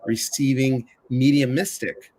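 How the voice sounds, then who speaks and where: A man's voice: medium pitch, steady tone, a little slow, a little mellow. A man from the Chicago area hosting a casual livestream conversation, chatty and full of asides. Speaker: livestream host.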